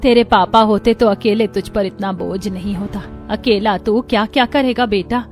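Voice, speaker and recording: A gentle older mother from a cartoon story, as a woman puts her on, soft and caring. Her voice stays quiet and kind. Faint music plays underneath. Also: medium pitch, steady tone, moderate pace, close to an everyday voice.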